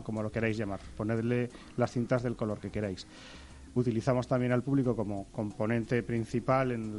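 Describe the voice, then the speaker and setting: A man's voice: mid-range voice, a little mellow. A man with an accent from Spain presenting live radio as host. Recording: faint background noise.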